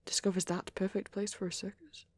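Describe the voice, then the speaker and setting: A performer voicing a shy, gentle young vampire boyfriend in an ASMR roleplay, with a soft, hesitant, often whispered delivery. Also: mid-range voice, little pitch movement, no echo, natural voice. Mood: quietly musing. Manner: hesitant, halting, murmured musing.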